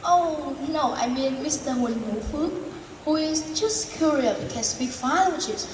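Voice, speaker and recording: A woman's voice: high voice, animated, unhurried, a little mellow. A woman playing an upbeat male confidence coach for students in a school role-play, speaking in an earnest, recited way. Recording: echoey hall, distant mic, background noise.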